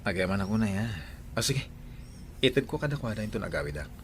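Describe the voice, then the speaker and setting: Lively, deep-voiced evasive husband. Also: male voice, fairly quick, slight background noise. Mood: charmed, easygoing. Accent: Ilocano. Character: proud, evasive husband who left his pregnant wife. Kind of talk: Ilocano radio drama acting.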